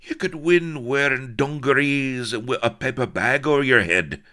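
A man's voice: lowish voice, sing-song, a little slow, gravelly, bright, forceful tone, voice pushed up, heavily acted. A man voicing a sarcastic, anguished rogue of a colonel held captive, groaning out archaic oaths and insults in an audiobook story. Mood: gasping, flattering. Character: hanging roguish Colonel, sarcastic, anguished, bombastic pirate captive.

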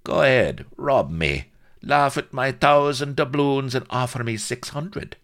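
Villainous storybook slaver: surly, croaking, blunt broken English, raised pitch. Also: male voice, animated, a little slow, a bit bright. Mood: grumbling, indignant.

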